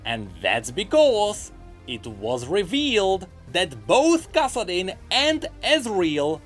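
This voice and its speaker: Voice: medium pitch, huge pitch swings, slow, soft background music. A host reading scripted gaming news with theatrical emphasis and a sarcastic streak.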